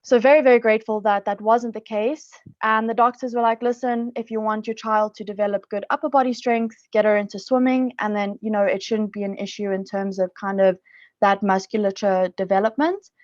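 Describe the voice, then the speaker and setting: An upbeat woman from South Africa chats as a podcast guest, filling her sentences with "you know" and "um." Her voice sits at an ordinary pitch, close and dry, with a slightly dull, lo-fi sound. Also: flat delivery, brisk, darkish tone, no room sound. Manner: chatty, upbeat, many fillers and restarts. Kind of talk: casual interview talk.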